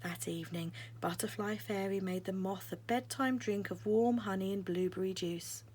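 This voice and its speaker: A woman's voice: medium pitch, steady tone, normal speed, darkish tone, a little hiss or hum. A woman narrating a children's picture book aloud as a scripted story.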